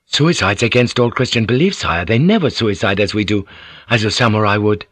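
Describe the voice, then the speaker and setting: A man performs a confident samurai counselor in a historical audiobook. He reasons in a measured, persuasive way with courtly respect. The sound is close and dry. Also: on the low side, expressive, fairly bright tone, no echo. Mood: respectful.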